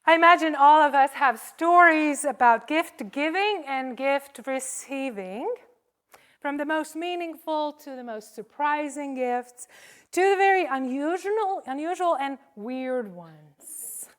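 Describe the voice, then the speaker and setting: Woman preacher w a high voice, talks slow, chatty sermon to her congregation. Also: expressive, a bit bright.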